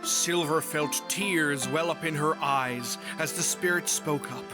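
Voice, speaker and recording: A man's voice: fairly high pitch, unhurried. A man reading scripted story narration as the narrator of a fan fiction tale. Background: music.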